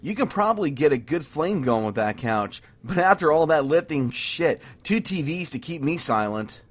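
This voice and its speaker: A man's voice: a little high, big pitch swings, normal speed. A young man acting an easygoing, joking college student in an audio drama, casual and teasing. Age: young adult.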